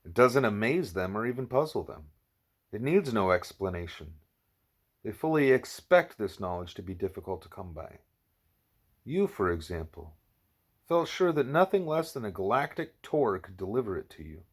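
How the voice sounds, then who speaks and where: A man voicing a grave, patient, wise animal teacher in an audiobook, speaking in a measured, formal, didactic way. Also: on the low side, big pitch swings, slightly rough. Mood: patient, explaining.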